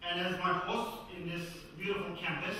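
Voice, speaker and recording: A man with a Turkish accent, from Turkey, giving a humorous university lecture in measured, emphatic phrases, recorded in a campus lecture hall. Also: high voice, fairly even intonation, sharp tone, a little hiss or hum. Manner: humorous, jokes with the audience. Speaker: guest lecturer. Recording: echoey hall recorded from far off.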